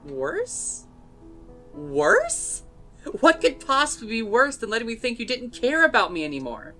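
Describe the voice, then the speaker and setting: A woman's voice: fairly high pitch, wildly swooping pitch, unhurried, gravelly, sharp tone, faint music behind, exaggerated voice. A woman playing a caring but hurt, blunt young woman in a fan dub drama, speaking directly and earnestly. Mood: hurt, exasperated.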